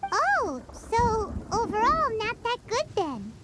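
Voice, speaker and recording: Brief: tiny sassy game sidekick, very high and bouncy, unhurried. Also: female voice, sing-song, very noisy, exaggerated voice. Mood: cheeky. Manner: talks about herself in third person, teasing. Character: tiny sassy floating companion sprite, cheeky. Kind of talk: video game voice acting. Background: game music.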